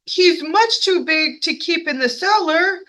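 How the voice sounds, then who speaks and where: A woman voicing an alarmed, excitable storybook policeman with urgent, exclamatory delivery. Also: very high voice, unhurried, voice pushed up, heavily acted. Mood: amazed, urgent.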